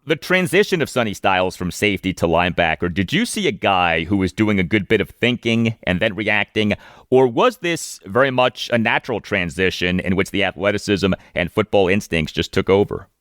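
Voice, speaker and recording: An American man hosting a sports interview, reading out stats and posing prepared questions in a deliberate, announcer-like way. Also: lowish voice, animated, a bit bright, dry recording, studio quality. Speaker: sports podcast host, interviewer.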